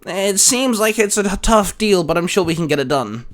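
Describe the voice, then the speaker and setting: A man's voice: high voice, animated, normal speed. A man hosting a fan discussion show, presenting and chatting in a casual way.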